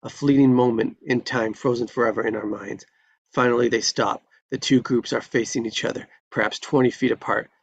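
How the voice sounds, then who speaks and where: A man's voice: medium pitch, steady tone, fairly quick, gravelly, husky. A man narrating scripted stage directions at a live table read, on a dull recording.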